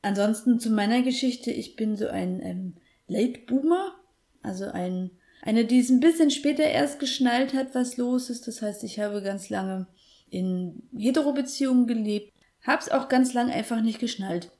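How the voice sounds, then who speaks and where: A middle-aged woman co-hosting a casual podcast chat, telling her story in a reflective, hesitant way with many pauses and fillers. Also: a little high, dark tone. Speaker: podcast co-host.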